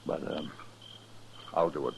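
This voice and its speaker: An actor playing a proud, stern older cattle rancher with an American accent and a husky, gruff, deliberate voice, in 1950s radio western style. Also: male voice, a little hiss or hum, close to an everyday voice. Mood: stern, stubborn.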